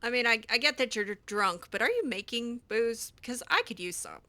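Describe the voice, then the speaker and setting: A woman's voice: a little high, animated, normal speed, sharp tone. A woman role-playing a video game heroine in improvised dialogue, with a dry, sardonic, hesitant delivery. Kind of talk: improvised in-character gameplay dialogue.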